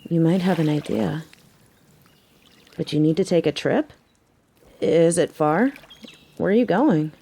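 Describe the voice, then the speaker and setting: Mellow, snarky biker girl played by a woman. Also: sits low, unhurried, gravelly, pauses often, slightly rough, exaggerated voice. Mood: earnest, tender. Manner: teasing, sarcastic. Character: biker girl, devoted human mate, teasing and snarky. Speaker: voice actor in an audio roleplay. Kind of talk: scripted audio drama acting.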